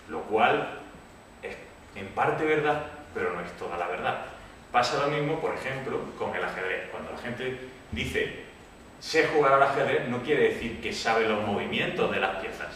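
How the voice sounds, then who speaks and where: A man from Córdoba with a slight Andalusian accent, giving a casual programming talk to a meetup audience and checking in with them often. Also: on the low side. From Córdoba, Spain. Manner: relaxed, conversational, frequent "vale?" check-ins. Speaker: tech meetup speaker. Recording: echoey room, distant mic, noisy.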